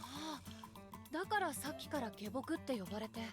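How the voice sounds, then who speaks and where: A woman voicing a stern, formal young attendant in an anime game, speaking with measured, businesslike politeness. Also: sits high, animated, over music. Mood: exasperated. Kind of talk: Japanese anime game voice acting.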